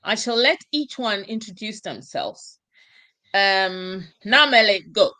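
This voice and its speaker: A woman from Ghana hosting an online discussion show, speaking in a slow, emphatic, exhorting way. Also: mid-range voice, sharp tone. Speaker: talk show host.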